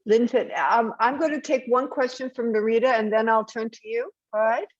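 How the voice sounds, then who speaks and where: A woman's voice: fairly high pitch, normal speed, dry recording. Lady running a panel, chatty and informal, mid voice, dull dry audio. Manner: informal, conversational. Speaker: panel moderator.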